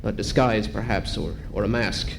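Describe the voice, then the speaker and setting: An actor playing a resolute, vengeful western lawman, earnest and deliberate, in old radio style. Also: fairly low pitch, fairly even intonation, vocal fry. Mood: solemn resolve. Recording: faint noise of old radio recording.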